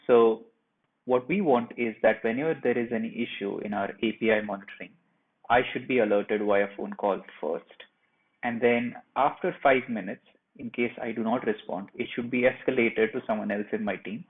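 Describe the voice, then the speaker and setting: A man presenting a software tutorial, narrating each step of a screen share in a plain, instructional way. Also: mid-range voice, moderate pace. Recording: muffled phone-like narrow band.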